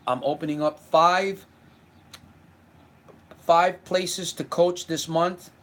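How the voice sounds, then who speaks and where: A man's voice: fairly high pitch, steady tone, slow pace, fairly bright tone. A man in his fifties from Puerto Rico, giving an earnest self-help livestream talk and answering viewer comments, often repeating words as he goes. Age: 50s.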